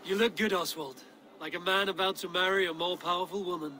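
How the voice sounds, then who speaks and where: A man playing a stoic, wry Viking warrior in a game cutscene, speaking in a measured, dry way. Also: sits high, fairly even intonation, bright, forceful tone. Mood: warm, wry. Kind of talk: dramatic game cutscene acting.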